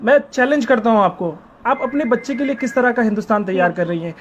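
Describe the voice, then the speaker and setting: A man's voice: very high voice, lively intonation, quick pace. A man presses his point on a phone call. He sounds insistent and reproachful, often pleading to be heard, and there is faint noise on the recorded line. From India. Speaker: caller. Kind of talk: confrontational phone conversation.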